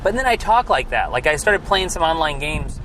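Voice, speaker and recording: A man's voice: fairly high pitch, very animated, moderate pace, fairly bright tone, low-quality recording. A young man co-hosting a casual podcast, rambling and trailing off with lots of filler words. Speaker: podcast co-host. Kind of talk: casual chat in a car. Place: inside a car. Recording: road noise in a moving car. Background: car and traffic noise.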